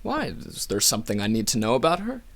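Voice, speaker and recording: A man's voice: mid-range voice, wildly swooping pitch, vocal fry, a bit bright, exaggerated voice. A man acting a smug, needling god who probes and teases his brother in a radio play. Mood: probing, needling. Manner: unhurried, smug, probing. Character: Zeus, smug, needling male god, teasing older brother.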